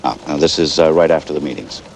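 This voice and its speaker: Male network news elder statesman: grave, formal, hesitant, very low register, TV drama. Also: quick pace, vocal fry. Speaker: news executive and commentator. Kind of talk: live TV news discussion acting. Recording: faint noise, 1980s TV broadcast.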